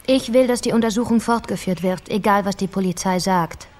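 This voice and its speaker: An actress playing a determined, guarded politician's daughter in a radio crime drama, direct and reserved. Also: female voice, mid-range voice, a little fast, darkish tone, natural voice. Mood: insistent.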